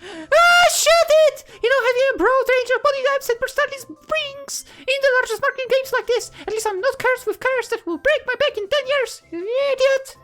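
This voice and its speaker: A woman voicing an excitable, bossy teenage girl, a perky and theatrical visual novel character. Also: very high-pitched, a little fast, faint music behind, voice pushed up. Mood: indignant, proud. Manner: perky, theatrical, indignant when teased. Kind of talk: anime-style game character voicing.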